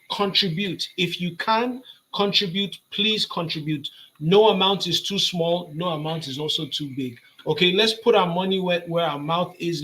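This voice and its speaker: A young man giving an urging, energetic rallying speech on a video call. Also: sits high. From Nigeria. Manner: energetic, urging, repeats points. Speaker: guest speaker.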